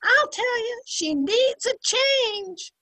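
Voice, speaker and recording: A woman's voice: very high voice, expressive, slow, pushed and bright, exaggerated voice. An older woman playing a brash, sarcastic housemaid in an amateur old-time radio comedy, over a video call. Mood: insistent. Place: room at home, video call.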